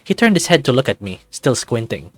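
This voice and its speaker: A man's voice: medium pitch, sing-song, brisk, a bit bright, no room sound, faint music behind. A man reading visual novel narration and game text aloud.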